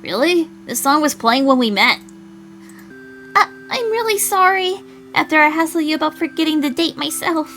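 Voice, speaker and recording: A woman voicing an eager, affectionate young girlfriend in a visual novel, with soft, emotive delivery. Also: very high-pitched, fairly quick, faint music behind, pitched above the performer's own voice. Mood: apologetic.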